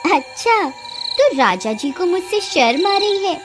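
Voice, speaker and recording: A woman's voice: very high-pitched, big pitch swings, slow pace, pitched above the performer's own voice, full character voice. A woman voicing a cruel female forest witch in a cartoon, with a taunting, menacing manner. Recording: music behind the voice.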